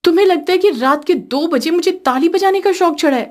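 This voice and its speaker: Cartoon young wife, female narrator acting: sharp-tongued, high, sassy, forever complaining about married life. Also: a little fast. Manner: whiny, sassy.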